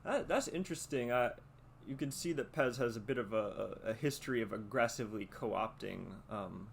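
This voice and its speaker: A man with a mid-pitched voice hosts a solo podcast. He speaks deliberately and haltingly, as if thinking through each point. There is some noise behind his voice. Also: creaky. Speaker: podcast host. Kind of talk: podcast monologue with read-out letter and commentary. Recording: noticeable background noise.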